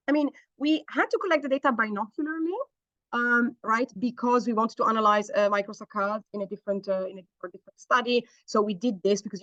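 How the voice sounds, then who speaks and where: A woman's voice: a little high, a bit bright, no room sound. A woman researcher explains her eye-tracking work in a hesitant, searching way, full of ums and restarts. The call audio is dry and a bit muffled. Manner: hesitant, many fillers and restarts. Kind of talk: online workshop Q&A answer.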